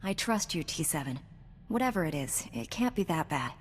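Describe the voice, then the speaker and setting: A woman's voice: low voice, big pitch swings, moderate pace. An actress playing a loyal, caring young female Jedi knight in a video game, speaking in an earnest, reassuring way. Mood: reassuring, warm. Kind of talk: scripted video game dialogue. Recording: long reverb, clear background noise.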